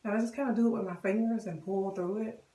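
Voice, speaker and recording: A woman with a cold and a hoarse voice, chatting casually to viewers as she walks them through a wig styling tutorial. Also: medium pitch, fairly even intonation, fast talker, warm tone, recorded from a distance. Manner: chatty, addresses viewers directly. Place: bathroom. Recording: echoey bathroom, voice off-mic.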